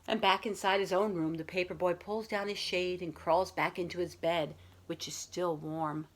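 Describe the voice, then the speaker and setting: A woman's voice: fairly low pitch, steady tone, normal speed, low-quality recording. A woman reading a picture book aloud as a school librarian, talking to young children in simple, explanatory phrasing.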